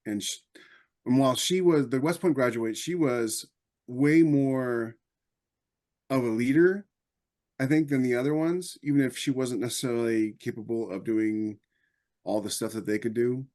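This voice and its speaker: A man's voice: mid-range voice, normal speed, a little mellow. An American man co-hosting a podcast, talking in a casual, anecdotal way. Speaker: podcast co-host, army veteran.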